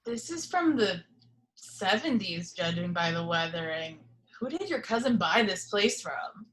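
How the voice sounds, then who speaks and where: A man's voice: sits high, very animated, a little slow, a bit bright, slight background noise, full character voice. A woman reading the part of a decent, awkwardly joking young man in a film script. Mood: earnest.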